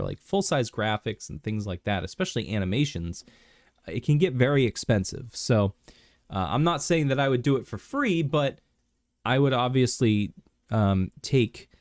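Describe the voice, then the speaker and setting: Male streamer, low voice, under the weather, rambly casual chat with lots of ums, dry close mic. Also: a little mellow, no echo. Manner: rambling, many fillers and restarts. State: sick, clearing throat.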